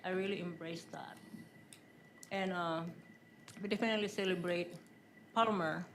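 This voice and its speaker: A woman's voice: fairly low pitch, steady tone, normal speed, a little hiss or hum. A woman from the Philippines answering interview questions in a public meeting room, chatty, with frequent ums and laughs. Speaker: job candidate.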